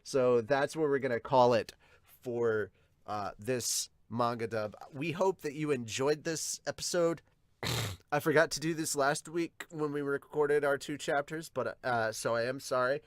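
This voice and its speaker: A man's voice: medium pitch, unhurried, no room sound. A man co-hosting an anime fan podcast, talking casually and bluntly in a rambling, sometimes sweary way to viewers. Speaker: co-host of an anime and manga podcast.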